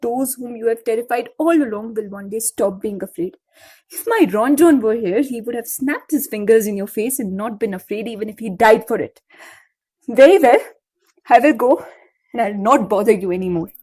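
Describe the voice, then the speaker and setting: Woman acting a bold young heroine in an audio play, high voice, earnest and expressive. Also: normal speed, husky. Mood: defiant. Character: Fearless, tender, defiant young woman who sings.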